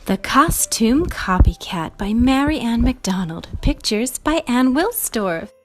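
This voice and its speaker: High, animated woman storyteller. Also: slow, faint music behind. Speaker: storybook reader and show host. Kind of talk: expressive read-aloud for young children.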